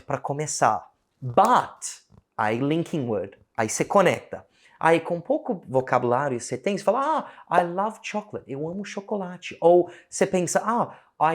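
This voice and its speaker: Aussie guy teaching english in portuguese, accent, voice jumps up and down a lot, casual. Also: a little high. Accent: Australian English speaker in Portuguese. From Australia. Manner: animated, switches between Portuguese and slow English examples. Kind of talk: casual online lesson.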